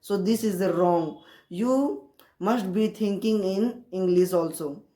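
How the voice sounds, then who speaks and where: A woman with an Indian accent and Hindi as her native language, talking casually and haltingly in learner's English, repeating herself to encourage viewers. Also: medium pitch, mellow. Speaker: English-learning video creator. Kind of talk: casual motivational talk to camera. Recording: roomy, echoey sound.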